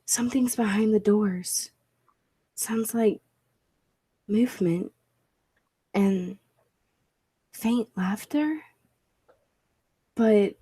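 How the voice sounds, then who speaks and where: A woman's voice: medium pitch, very slow, pauses often, mellow, breathy edge. A woman playing a proud, driven inventor and time traveler in a 1940s-style radio play, speaking in formal, theatrical phrases. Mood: alarmed, urgent.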